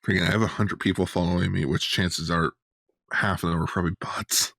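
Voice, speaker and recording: A man's voice: deep voice, steady tone, brisk, vocal fry, fairly bright tone, no echo, clean studio sound. A man co-hosting a podcast with casual, jokey and irreverent banter. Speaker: podcast co-host.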